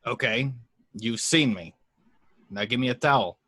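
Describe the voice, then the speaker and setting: A man playing a cynical, sarcastic private detective in an amateur table read, gruffly dismissive in his delivery. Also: mid-range voice, unhurried, bright, forceful tone, no echo. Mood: irritated.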